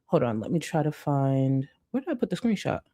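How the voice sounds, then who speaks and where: A woman's voice: low voice, big pitch swings, a little fast, dark tone. A woman hosting a live commentary stream, reading chat and mocking her target with heavy sarcasm and swearing.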